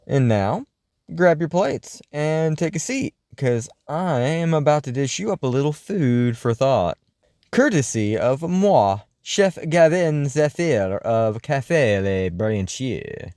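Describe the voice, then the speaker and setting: A man's voice: medium pitch, expressive, slow, darkish tone, clean tone, no echo, clean studio sound. A man playing a flamboyant late-night paranormal radio host, telling stories in a grandiose, wordy showman style. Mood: playful. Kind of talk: scripted comedic radio monologue and storytelling.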